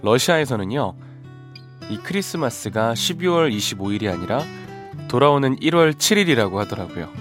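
A man's voice: mid-range voice, a little fast. A man, a ballad singer, hosting a live radio show in a studio and chatting casually between listener messages. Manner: relaxed, chatty, self-deprecating. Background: faint guitar music.

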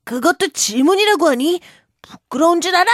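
Storybook creature: woman plays bossy beast, impatient and commanding, high voice with lively swings. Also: rapid-fire, dry recording, clean studio sound. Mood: scolding. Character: brusque, scolding Gryphon, bossy mythical beast. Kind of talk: storybook character voice.

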